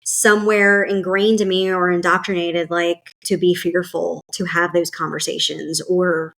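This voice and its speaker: A woman's voice: on the low side, little pitch movement, measured pace, gravelly, a bit bright. A woman hosting a podcast, talking casually with lots of fillers and asides. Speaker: podcast host. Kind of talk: casual conversation.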